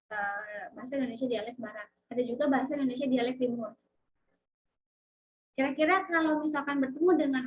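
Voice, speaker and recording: A woman with a high voice teaches an online lecture over a video call. She keeps checking that students follow and prods them with questions. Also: low-quality recording. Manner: questioning, prompts students with frequent tag questions. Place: home, online class.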